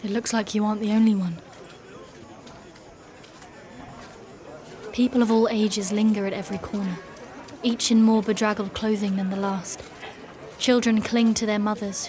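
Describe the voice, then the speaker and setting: Fantasy audio drama lead: actress as soldierly female knight, guarded and proud, formal clipped phrasing, mid-pitched. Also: background noise, close to an everyday voice. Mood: grave. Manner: formal, clipped, commanding, measured diction. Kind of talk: dark fantasy audio drama acting and narration.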